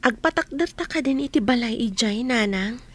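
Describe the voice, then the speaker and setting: Earnest, high-voiced grown daughter. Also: female voice, lively intonation, normal speed. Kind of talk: radio drama acting.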